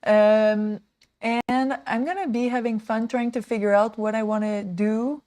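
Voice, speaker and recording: A woman in her thirties chats through a makeover livestream, rambling and excitable, full of "like" and "um". She talks slowly and takes her time. Her voice is close and dry on a small desk mic. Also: fairly high pitch, no echo. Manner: rambling, excitable, many fillers like "like" and "um". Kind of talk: casual chatty livestream talk.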